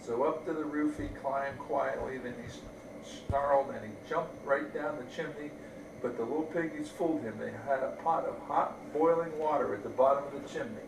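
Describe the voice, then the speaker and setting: A man's voice: medium pitch, normal speed, gravelly. An older man, a grandfather, reading a bedtime storybook aloud to young children. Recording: roomy, distant mic, faint noise.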